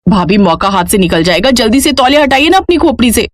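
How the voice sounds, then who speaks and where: A woman voicing a suspicious, scheming young sister-in-law in a storybook tale, excitable and nagging. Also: a little high, fast talker, dry recording. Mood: urgent. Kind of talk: Hindi moral storybook character voice.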